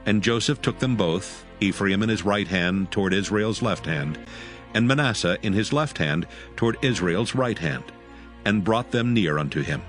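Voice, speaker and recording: A man's voice: lowish voice, lively intonation, normal speed, soft background music. A man giving scripted narration of scripture for an audio drama.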